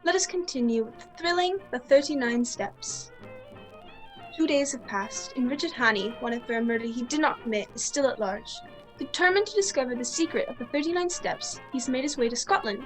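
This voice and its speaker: A woman's voice: sits high, fairly quick, natural voice, low-quality recording. A woman playing a formal, dramatic old-time radio host narrating a play from a script. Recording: music under video call audio.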